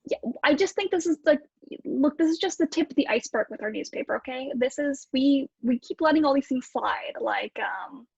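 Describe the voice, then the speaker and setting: A woman playing an exasperated newspaper editor in an improvised comedy scene, talking in halting, emphatic repetitions. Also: sits high, expressive, creaky, darkish tone, no room sound, lo-fi sound. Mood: exasperated, insistent. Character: exasperated female newspaper editor scolding bungling staff.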